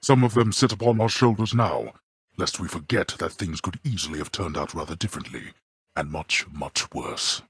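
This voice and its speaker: An actor playing a stern, imperious god-king of the underworld in a video game, with formal, commanding, disdainful and measured delivery. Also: deep voice, a bit bright, husky, no room sound, studio quality, full character voice. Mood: stern, weary. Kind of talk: theatrical scripted video game dialogue.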